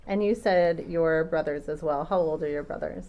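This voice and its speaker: Woman questioning a witness in court, slightly low. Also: highs cut off. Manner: patient, measured questioning with filler ums. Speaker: trial attorney questioning a witness. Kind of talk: courtroom direct examination.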